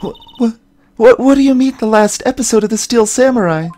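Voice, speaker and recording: Woman acting a loud, excitable teenage girl. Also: on the high side, brisk, close to an everyday voice. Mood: distraught. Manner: stammering, exclamatory. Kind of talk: voiced visual novel game dialogue.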